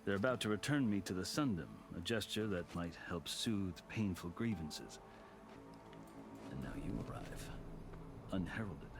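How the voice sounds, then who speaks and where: An actor playing a proud, courteous veteran warrior turned diplomat in a video game, speaking in formal, measured oratory. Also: male voice, deep voice, lively intonation, over music. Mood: courteous, wry. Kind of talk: dramatic video game cutscene acting.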